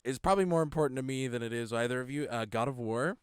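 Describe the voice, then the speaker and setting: Podcast co-host, man, casual and rambling, clear voice with a dry, close feel. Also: fast, dry recording. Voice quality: low voice. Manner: rambling, laughs often, many restarts. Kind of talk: casual chat.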